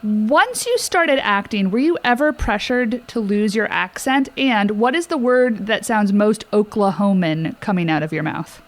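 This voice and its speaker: A woman's voice: mid-range voice, lively intonation, unhurried, few pauses. A woman hosting a podcast interview, asking questions in a friendly, lightly joking way. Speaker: podcast host. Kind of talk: casual interview.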